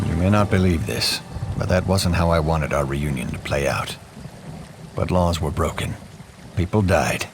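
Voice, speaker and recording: A man's voice: extremely low pitch, normal speed, creaky. Stern, commanding noble father. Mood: heavy-hearted. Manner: commanding, formal. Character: stern, proud noble father and ruler, dutiful. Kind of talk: fantasy video game voice acting.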